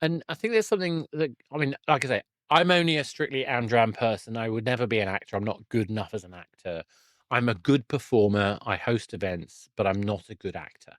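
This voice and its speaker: A man's voice: mid-range voice, fairly quick, a bit bright, dry recording, studio quality. A middle-aged man hosting a casual interview, self-deprecating and rephrasing as he thinks aloud. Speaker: podcast host and interviewer.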